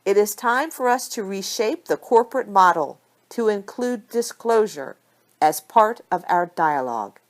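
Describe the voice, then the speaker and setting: A confident female corporate lawyer from an audiobook story, voiced by a woman reader. She is devoted and tender beneath the poise, and speaks in a measured, earnest way. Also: mid-range voice, animated, voice pushed up, close to an everyday voice. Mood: earnest, assured.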